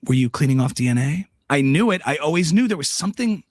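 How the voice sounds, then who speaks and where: A man's voice: on the high side, expressive, quick pace, dry recording, clean studio sound, natural voice. A man playing a smug, suspicious female conspiracy podcaster, repeating phrases insistently in a mock-dramatic commentary. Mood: accusing, mock-outraged. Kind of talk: satirical impersonation of a commentary podcast.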